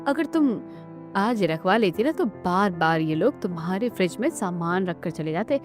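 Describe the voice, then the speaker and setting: A woman's voice: fairly low pitch, lively intonation, moderate pace, faint music behind, lightly acted. A woman playing a gossipy, disapproving Indian neighbour in a family cartoon, with a sneering manner. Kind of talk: Hindi family cartoon dialogue.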